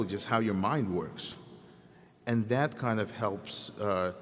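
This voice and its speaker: A young man from Ukraine with a Russian accent, answering student questions candidly at a convocation and often breaking off and restarting. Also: on the low side. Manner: candid, self-interrupting, conversational. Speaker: guest speaker. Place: large hall. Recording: long hall reverb.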